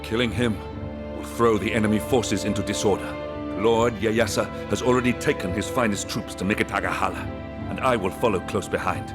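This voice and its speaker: An actor playing a shrewd, dutiful samurai retainer and battle tactician in a video game cutscene. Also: male voice, fairly low pitch, steady tone, fairly quick, close to an everyday voice. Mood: businesslike, urgent. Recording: music under the voice.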